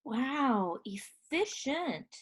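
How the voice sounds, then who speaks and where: A woman's voice: high voice, big pitch swings, drawn-out words, a bit bright, breathy edge, heavily acted. An actress playing an awkward, self-deprecating young woman fresh out of rehab in a stage play. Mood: shy, wry. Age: young adult.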